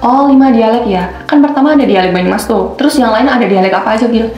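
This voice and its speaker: A woman's voice: on the high side, fast talker, roomy sound, lo-fi sound. A young woman with a Solo-Yogya Javanese accent, co-hosting an educational podcast and asking curious follow-up questions. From Central Java. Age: young adult. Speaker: podcast co-host, intern student. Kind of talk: scripted educational chat.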